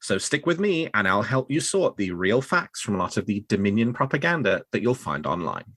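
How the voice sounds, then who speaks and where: A male podcast host presents fan news in a fairly low voice. The tone is bright and forward, and the sound is close and very dry. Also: sing-song, brisk, no room sound.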